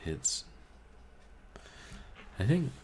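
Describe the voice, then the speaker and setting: A man streaming a book reading, chatting casually with his chat in a calm, musing, halting way. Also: a little hiss or hum. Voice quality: calming voice. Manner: musing, soft-spoken, halting. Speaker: streamer reading books aloud.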